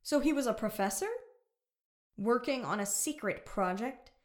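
Actress as overprotective mom in a family radio play, high voice, really up and down. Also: female voice, lively intonation, normal speed, natural voice. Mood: brisk, businesslike. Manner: sweet and fussing with children. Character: caring, overprotective mother working for police. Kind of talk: scripted family audio drama.

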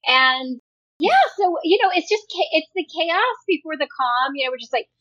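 A woman with a high voice chats casually as a podcast co-host. The sound is a little dull and lo-fi. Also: animated, normal speed, pushed and bright. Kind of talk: casual chat.

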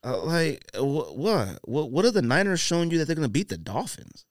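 A man's voice: fairly high pitch, very animated, brisk, no echo, studio quality. A man hosting a casual sports podcast, debating picks in an emphatic, argumentative, slangy way.

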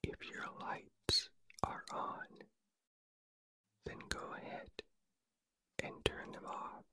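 A man hosting a sleep relaxation podcast in a breathy whisper, speaking in a gentle, soothing ASMR style. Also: slow. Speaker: podcast host and relaxation guide.

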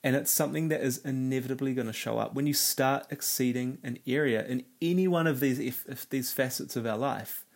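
A man talks through a motivational podcast episode in a conversational, earnest way. His voice is raspy and nasal from a cold, with a gravelly, fried texture at a mid-range pitch. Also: fairly quick, hardly any pauses. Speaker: podcast host. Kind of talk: solo self-help podcast talk.

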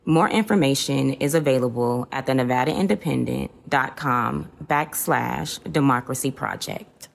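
A woman reporter reading an event promo in a measured announcing way. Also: extremely low pitch, gravelly. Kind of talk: read promo.

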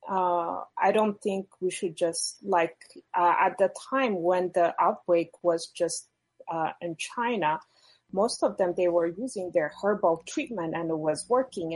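A woman's voice: fairly low pitch, darkish tone, husky, no echo, low-quality recording. A woman with an Ethiopian accent, a guest scientist giving opinions in an interview in an insistent way.

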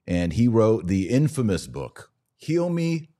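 A man's voice: fairly low pitch, huge pitch swings, slow, dark tone. An American man hosting a podcast, giving conversational religious commentary with a sardonic edge. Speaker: podcast host.

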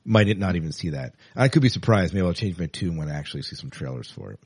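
A man's voice: low voice, lively intonation, fast talker, husky, no echo. A man hosting a podcast, chatting casually about news items and steering the conversation. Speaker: podcast host.

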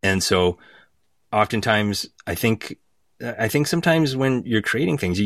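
A man's voice: lowish voice, dry recording. A man giving a conversational interview as a guest, thoughtful and searching for his words. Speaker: podcast guest from a theatre company.

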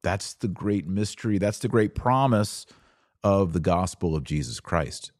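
A man hosting a podcast, giving a religious lecture in an emphatic, didactic manner with dramatic pauses. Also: low voice, expressive, a little mellow, dry recording, studio quality. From Texas. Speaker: podcast host.

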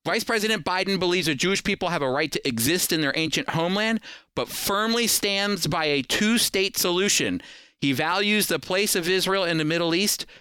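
A man's voice: on the high side, pushed and bright, clear, resonant tone, no echo. A man hosting a program, giving scripted political commentary to listeners in an emphatic, explanatory manner.

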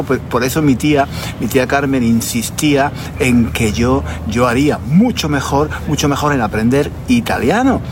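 A man with an accent from Spain telling stories conversationally as a language podcast host, deliberately repeating phrases for emphasis. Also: medium pitch, noisy background. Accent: Spanish, from Spain. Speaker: podcast host, Spanish teacher. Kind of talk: conversational storytelling for language learners.

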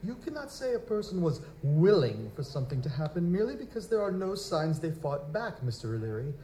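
A young nobleman, acted by a man, with a fairly high, mellow voice and stiff, formal phrasing. He is touchy and proper, a bereaved lord in a live audio drama. The sound is roomy and echoing, with some noise. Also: sing-song, brisk. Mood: defensive, stiff. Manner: formal, stiff, aristocratic diction.

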